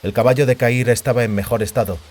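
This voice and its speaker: A man's voice: mid-range voice, steady tone, fast, a little mellow, a little hiss or hum. A man giving a dramatic scripted narration of a fantasy audiobook.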